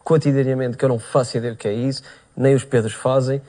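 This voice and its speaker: A man moderates a TV debate quickly, asking probing questions in a conciliatory tone. His pitch is average. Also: flat delivery, mellow. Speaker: TV debate presenter.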